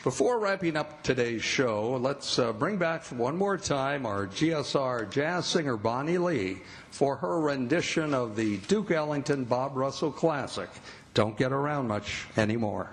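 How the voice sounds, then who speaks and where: A man's voice: mid-range voice, lively intonation, a little slow, slight background noise. A man hosting a radio theatre show, announcing in an old-time radio style.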